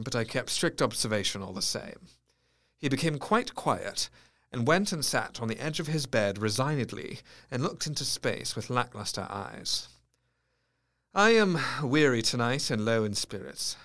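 A man's voice: medium pitch, pushed and bright. An actor playing a grave, melancholy Victorian asylum doctor, narrating his diary precisely and earnestly in a gothic audio drama. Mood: clinical, intrigued, then weary, melancholy.

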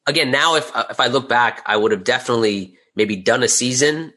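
A man's voice: medium pitch, fairly quick, sharp tone. A man speaking as an interview guest, telling anecdotes in a casual, conversational and candid way about his own work. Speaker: interview guest, audio drama creator.

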